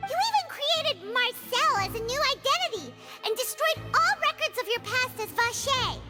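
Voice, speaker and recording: A woman voicing a tiny, childlike, feisty companion in an anime-style video game, squeaky and cute. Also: big pitch swings, normal speed. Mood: accusing. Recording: music under the voice.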